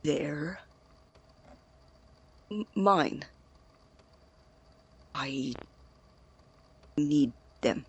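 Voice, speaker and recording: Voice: medium pitch, very slow, pauses often, husky, exaggerated voice. An actor playing an anxious, secretive man in an audio drama, stammering and defensive as he guards his experiments. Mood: nervous, evasive. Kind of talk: naturalistic audio drama acting.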